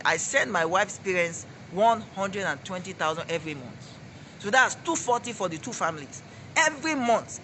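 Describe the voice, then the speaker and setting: A man's voice: sits high, bright, forceful tone, a little hiss or hum, rough recording. A Nigerian man in his twenties with a Nigerian accent, giving an emphatic, preachy testimony as a network marketing director in an interview. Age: 20s.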